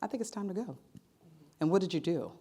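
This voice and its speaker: A woman's voice: mid-range voice, lively intonation, brisk, warm tone, breathy edge. A woman moderating a career panel, introducing guests and posing questions in an upbeat tone.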